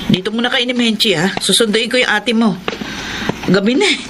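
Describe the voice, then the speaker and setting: A woman's voice: on the high side, brisk, natural voice. A woman playing a worried, scolding poor mother in a Tagalog radio drama, with a Filipino accent. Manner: fretful, scolding. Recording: noisy radio drama with background ambience.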